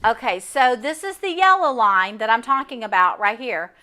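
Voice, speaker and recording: Bright-voiced woman teaching chart trading. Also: fairly high pitch, expressive, normal speed. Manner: chatty, explains while pointing at a chart. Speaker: trading instructor. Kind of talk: live online trading lesson. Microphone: microphone first left off, then turned on.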